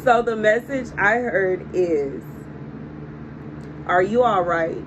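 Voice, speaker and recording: A woman with a Southern country accent speaks to her phone camera from an indoor room. Her delivery is emphatic and repetitive as she gestures, and a clear background hum sits under her voice. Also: medium pitch, slow pace. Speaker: prophetic video host. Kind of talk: casual spoken prophetic message. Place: indoor room before window blinds. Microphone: phone camera on a desk. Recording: clear room noise behind.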